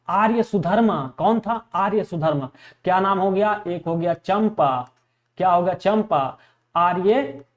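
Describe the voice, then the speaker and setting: Male exam teacher, high-pitched, repetitive question-and-answer drilling in a lecture style. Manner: repetitive, asks questions and answers them himself. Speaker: history teacher for exam preparation. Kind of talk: classroom lecture drilling facts for exams.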